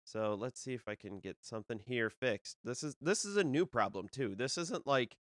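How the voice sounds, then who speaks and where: A man's voice: medium pitch, expressive, moderate pace, fairly bright tone, no room sound, studio quality. Slightly nasal man hosting a show. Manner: rambling, with many fillers and restarts. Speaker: disc golf podcast host. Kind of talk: casual solo live-stream talk.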